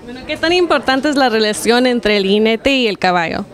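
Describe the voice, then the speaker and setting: A woman's voice: fairly high pitch, animated, fairly quick. A woman reporter asking interview questions off camera at a racetrack, in a plain, friendly way with a few fillers. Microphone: handheld mic held to guests, off camera.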